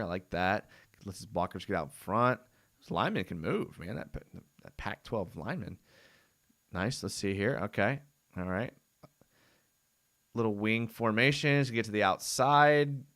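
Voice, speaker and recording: Laid-back young man, smooth voice. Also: fairly low pitch, fairly bright tone. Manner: laid-back, rambling, frequent "dude" and "I mean". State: voice going hoarse from long talking. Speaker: host of a live fan show. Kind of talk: casual live-stream chat.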